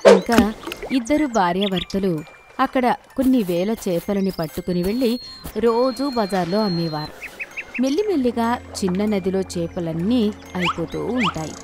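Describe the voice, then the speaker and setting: A woman narrating a children's animated moral story in a gentle, steady storytelling voice. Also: medium pitch, normal speed, music in the background.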